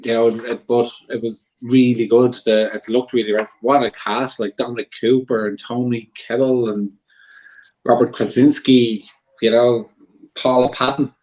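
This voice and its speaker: A man's voice: mid-range voice, flat delivery, normal speed, a little mellow. A man giving his opinion casually as a guest on a panel debate show. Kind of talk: casual film debate chat. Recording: slightly dull lo-fi sound.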